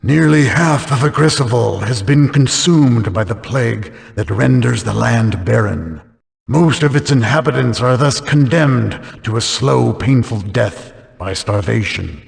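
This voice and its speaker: An actor playing a solemn storyteller, giving measured scripted narration for a fantasy video game in a reverberant sound. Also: male voice, mid-range voice, lively intonation, creaky. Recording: long hall-like reverb.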